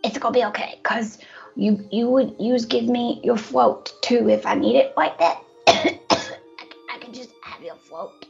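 A woman's voice: on the high side, unhurried, gravelly. A woman playing a sickly, poor young boy who stammers and rambles naively in a comedic audio play. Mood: suffering, brave. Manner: stammering, repeats words, rambling. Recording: dull lo-fi audio with music.

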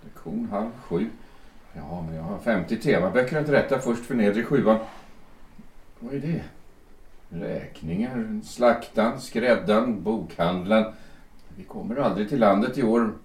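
An actor playing a worn-out old schoolteacher who pleads and apologizes in a rambling, half-asleep way, recorded as an audio drama. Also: male voice, fairly low pitch, darkish tone, close to an everyday voice. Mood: fretful. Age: older. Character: weary old schoolteacher man, anxious and pleading. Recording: roomy echo, distant mic.

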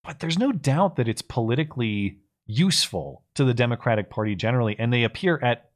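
A man speaks as co-host of a political livestream. He reads out messages from viewers and adds casual commentary. His delivery is conversational and measured, a little halting as he forms his thoughts. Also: medium pitch, animated.

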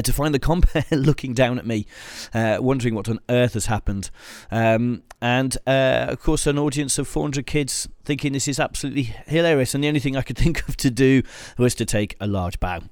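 A middle-aged British man with a slightly husky voice from a cold, talking alone into a microphone in a small studio, chatty and self-deprecating with many fillers. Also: mid-range voice, darkish tone, no echo. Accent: British English. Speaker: podcast host, photographer. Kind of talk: solo podcast monologue. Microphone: studio microphone with headphones.

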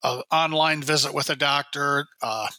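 A man in his sixties, hoarse while recovering from illness, rambling and restarting his sentences in a casual radio chat. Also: fairly high pitch, fairly even intonation, moderate pace, bright, forceful tone, no room sound. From Minnesota. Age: 60s. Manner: rambling, self-correcting storyteller. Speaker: show regular, state high school sports guide.

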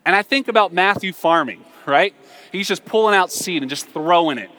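An American man preaches in a lively, storytelling style, his pitch swinging widely. His voice is bright and forceful, and he repeats phrases for emphasis with conversational humor. It rings with the long reverb of a big hall. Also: normal speed. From southern United States. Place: large reverberant room, live before a congregation.

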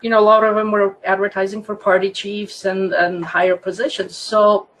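A Croatian-accented woman in her fifties tells her story as a guest. She is chatty and warm-hearted in her telling, laughing often and doubling back on her words. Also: mid-range voice, level tone, normal speed, rough recording. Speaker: guest, land surveyor. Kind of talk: casual interview storytelling.

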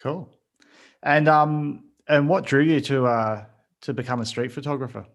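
A man with a medium-pitched voice hosts a podcast interview. He puts his questions casually and informally, with a little hesitation as he phrases them. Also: level tone, a little slow.

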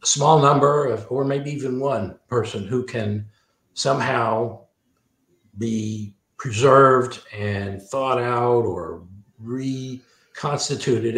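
A man speaking as an interview guest and author, thoughtful and discursive, often rephrasing himself as he goes. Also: medium pitch. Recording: roomy, echoing sound.

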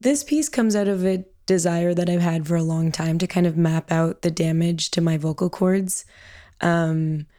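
A woman artist talking reflectively about her work, with a low voice of limited range and frequent pauses and fillers. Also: mellow, dry recording, studio quality. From Canada. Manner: thoughtful, with frequent ums and hedging "kind of". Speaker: artist and audio producer. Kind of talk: reflective interview answer.

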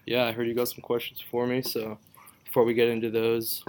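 A man's voice: medium pitch, monotone, fairly quick, darkish tone, a little hiss or hum. A young man from Israel whose first language is Hebrew, a podcast guest chatting casually and rambling with lots of fillers and restarts. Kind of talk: casual interview chat.

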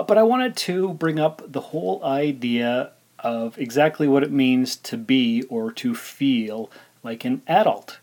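A man in his forties hosts a casual solo podcast. His voice sits at an average pitch, and he talks informally, thinking aloud and rambling a little as he goes. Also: a little mellow. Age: 40s. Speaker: podcast host.

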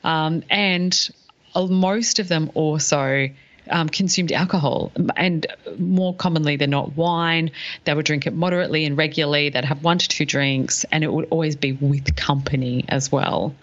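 A woman's voice: sits low, steady tone, normal speed. A woman co-hosting a podcast, explaining things in a casual, conversational style on slightly lo-fi audio. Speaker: podcast co-host.

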